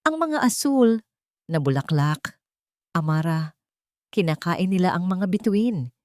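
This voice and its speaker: A woman's voice: lowish voice, animated, measured pace, darkish tone, no echo, studio quality. A woman voicing a young mother who feigns madness with a childlike lilt.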